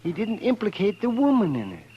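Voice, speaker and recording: An actor playing a brisk, folksy frontier doctor in a 1950s radio drama. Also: male voice, high voice, wildly swooping pitch. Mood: matter-of-fact. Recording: muffled, noisy 1950s radio recording.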